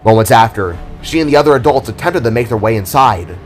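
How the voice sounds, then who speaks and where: A man narrates a scripted story with dramatic delivery. His voice sits at a middle pitch, with music playing behind it. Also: lively intonation, fast.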